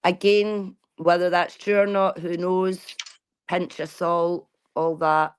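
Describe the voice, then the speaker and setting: A woman's voice: lowish voice, level tone, takes it slowly, clear, resonant tone. A woman, talking bluntly and casually to her viewers and swearing freely. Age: 40s. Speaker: vlogger. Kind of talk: casual chat.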